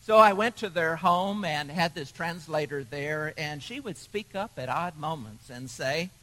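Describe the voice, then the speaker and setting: A man preaching a sermon, telling stories in a measured way with pauses. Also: a little high, animated, a bit bright, rough recording. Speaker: pastor.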